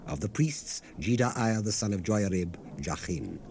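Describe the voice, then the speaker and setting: Male narrator for dramatized scripture: fairly deep, deliberate, solemn. Vintage cassette sound, slightly noisy. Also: lively intonation, darkish tone. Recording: hissy unprocessed 1970s cassette rip.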